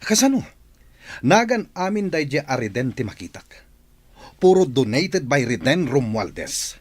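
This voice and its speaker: An actor with an Ilocano accent playing a bossy, scheming rich man who is quick to anger. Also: medium pitch, very animated. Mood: scheming, angry. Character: scheming rich middle-aged male boss. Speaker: radio drama actor.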